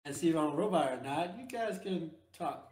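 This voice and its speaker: A man's voice: fairly high pitch, animated, brisk, a little mellow, close to an everyday voice. A man voicing a cheeky, nosy, flippant crewman in a tabletop sci-fi game. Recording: roomy, echoing mic.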